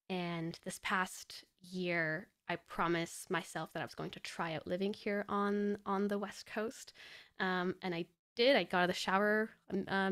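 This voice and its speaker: A young woman from Poland speaks as a podcast guest over a video call. Her voice sits fairly low and has a gravelly, fried edge. She talks reflectively, circling back and restarting her thoughts into a boom-arm studio mic. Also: fairly bright tone. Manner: thoughtful, often restarts phrases. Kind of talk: reflective casual conversation. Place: indoor room, video call. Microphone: studio microphone on boom arm.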